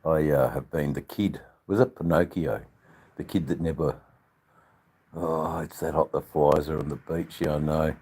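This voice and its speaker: An older man with an Australian accent and a husky voice, chatting casually to livestream viewers in a rambling, drawn-out, joking way. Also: rumbling low, fairly even intonation, a little mellow. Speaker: livestream channel host. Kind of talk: casual live chat with viewers.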